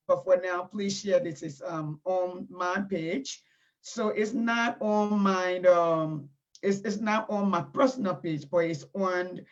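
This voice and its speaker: A middle-aged woman with a Liberian accent hosting an informal live online talk, often halting as she searches for words. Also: on the low side. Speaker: talk show host. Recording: dull, lo-fi internet stream audio.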